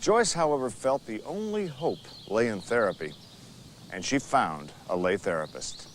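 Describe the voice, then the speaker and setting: An actor narrating a dark comedy as a wry, mocking storyteller in a scripted style. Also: male voice, mid-range voice, expressive, normal speed, slight background noise, lightly acted.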